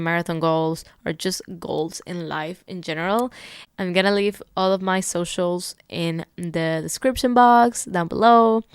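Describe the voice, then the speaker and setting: A woman hosts a solo podcast in a rather low, smooth voice. She talks in a candid, upbeat, motivational way. Her voice is close to the mic and dry, with no room sound. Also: no room sound. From Ecuador. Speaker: podcast host. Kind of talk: reflective solo podcast monologue.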